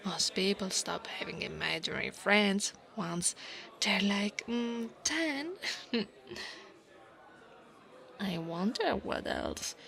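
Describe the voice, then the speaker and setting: A woman's voice: mid-range voice, takes it slowly, vocal fry, pauses often, fairly bright tone. A woman playing a haughty, insecure young dragon girl bully with a sneering, taunting manner in an ASMR roleplay. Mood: smug, mocking. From Italy.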